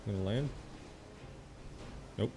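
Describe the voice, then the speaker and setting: A man's voice: slow pace, background noise. A man doing casual live gameplay commentary in an offhand, understated way, reading dialogue options aloud. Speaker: game streamer. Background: game music.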